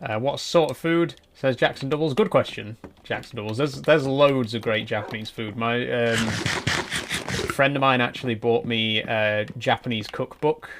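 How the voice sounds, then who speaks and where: A man's voice: medium pitch, unhurried, a little hiss or hum. A man in his twenties streaming a building game, chatting casually and answering viewers' messages as he plays. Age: 20s. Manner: relaxed, rambling, answers chat messages. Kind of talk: casual live-stream chat while playing.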